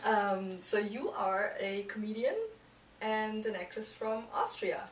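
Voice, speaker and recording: A woman hosting a relaxed interview asks her questions with plenty of "um" and "you know". The mic is set back from her in a small room, so her voice carries a roomy echo. A faint hiss sits under it. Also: mid-range voice, slow pace, far from the mic. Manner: chatty, filler-heavy, friendly questions. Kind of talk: casual interview.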